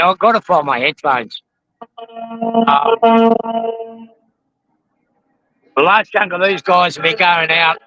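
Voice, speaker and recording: An Australian man hosting a casual live stream outdoors, rambling with lots of ums while his voice goes hoarse. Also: sits high, bright, forceful tone, lo-fi sound. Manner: rambling, frequent ums and restarts. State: losing his voice from the heat. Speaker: model railroading channel host. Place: outdoors at a roadside truck convoy.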